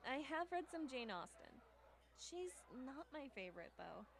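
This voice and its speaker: Woman acting a bookish game heroine, higher voice, animated intonation, kind, reassuring, conversational. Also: moderate pace, creaky, slight background noise, full character voice. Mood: thoughtful. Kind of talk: visual novel dialogue read aloud.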